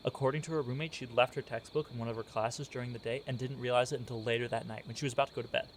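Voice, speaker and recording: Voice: mid-range voice, steady tone, rapid-fire, natural voice. Amateur actor doing earnest spooked college kid pushing a ghost story, radio drama, bit of cricket noise. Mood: ominous. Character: insistent, spooked college student who believes in ghosts. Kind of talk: amateur radio play acting. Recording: noisy recording with chirping crickets.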